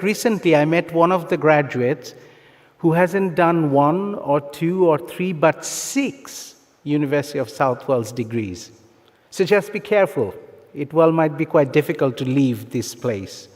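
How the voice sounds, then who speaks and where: A man with a fairly high voice delivers a formal ceremonial speech over a long hall reverb. He sounds earnest and encouraging, setting out measured phrases with emphatic pauses, like an official addressing graduates. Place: large conference hall, live graduation ceremony.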